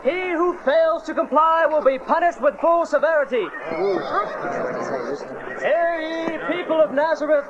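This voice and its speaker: Roman herald: very high, loud, formal and pompous. Audio Bible drama, dull tape sound, busy crowd. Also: male voice, unhurried, long pauses. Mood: formal, commanding. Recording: muffled cassette, crowd around.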